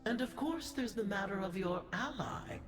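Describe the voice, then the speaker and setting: A woman's voice: mid-range voice, lively intonation, moderate pace, lightly acted. A woman playing a scheming, silky spider-creature noble in a fantasy game, sly and insinuating. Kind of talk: fantasy game character acting. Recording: echoing voice under game music.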